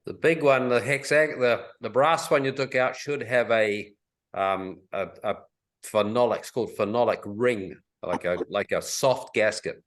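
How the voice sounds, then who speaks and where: A man's voice: mid-range voice, measured pace, a bit bright. A man giving casual technical advice on a group call in a matter-of-fact, instructive way. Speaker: technical adviser.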